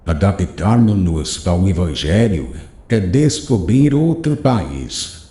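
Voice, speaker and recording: Guy doing wise old rabbi, low mellow voice, lots of lilt, slow solemn preaching. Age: older. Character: wise old male rabbi teacher, gentle and consoling. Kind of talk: audiobook character reading. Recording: roomy echo.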